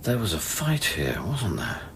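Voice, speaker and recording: An actor playing an earnest scientist on a space station in a radio play, brooding and deliberate in narration, urgent when questioning. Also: male voice, deep voice, wildly swooping pitch, creaky, background noise, heavily acted. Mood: troubled, reflective. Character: earnest male scientist newly arrived on space station, recounting events. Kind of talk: radio drama acting with first-person narration. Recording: music behind the voice.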